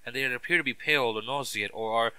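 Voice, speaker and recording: A man acts as a male TV reporter on a breaking news story. His voice is very bright and forceful at a medium pitch, and the sound is dry and close. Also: fast, clean tone, no room sound. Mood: serious, urgent. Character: urgent local TV news reporter, adult man.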